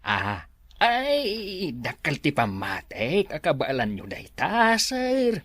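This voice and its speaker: A woman playing a hesitant, deferential employee in an Ilocano radio drama, stammering out halting replies in a husky voice. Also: deep voice, wildly swooping pitch, a bit bright, no echo, exaggerated voice.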